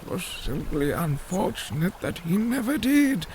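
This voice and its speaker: An actor playing an elderly, long-winded fish-man scholar in an audio drama, with a gravelly voice and a halting, formal storytelling manner. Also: sits high, big pitch swings, a little mellow, slight background noise, full character voice.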